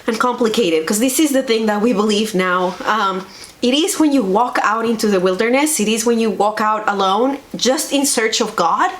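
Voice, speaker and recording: A woman from Colombia, a native Spanish speaker, telling personal stories conversationally and earnestly, repeating phrases for emphasis. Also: fairly high pitch. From Bogota, Colombia. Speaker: vlog co-host, pastor.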